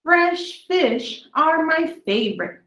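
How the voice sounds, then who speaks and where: A woman reading a children's book of dinosaur poems aloud, drawing out her words deliberately for young listeners in a small room. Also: very high voice, very animated, fairly bright tone, reverberant. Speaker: children's story reader.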